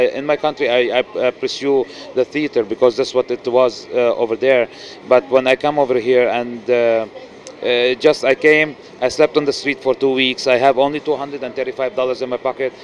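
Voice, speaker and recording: A middle-aged man with a Lebanese accent, an actor speaking earnestly in an interview, with many restarts and fillers. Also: mid-range voice. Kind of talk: casual interview. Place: noisy indoor event venue. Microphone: handheld mic.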